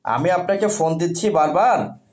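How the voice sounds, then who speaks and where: A man with a Bangladeshi accent giving unscripted sales talk as a seller, explaining haltingly and repeating himself. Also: sits high, crowd noise. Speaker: seller presenting products on video.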